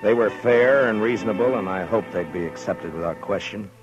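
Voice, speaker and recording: Man acting seasoned western marshal, American accent, low pitch, laconic, dry, steady, 1950s radio drama, vintage recording. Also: brisk, lo-fi sound, over music, natural voice. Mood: matter-of-fact narration.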